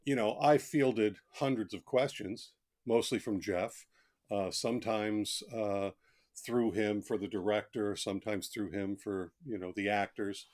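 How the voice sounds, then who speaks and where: A man's voice: on the low side, fairly even intonation, measured pace, a little mellow. A man speaking as a guest author in a conversational interview, talkative and reflective, explaining things in plain asides.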